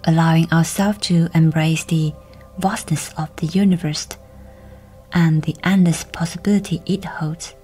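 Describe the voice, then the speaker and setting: A woman leading a guided meditation in a soft, breathy, whispery voice, with gentle, measured, soothing delivery. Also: deep voice, fairly even intonation, faint music behind.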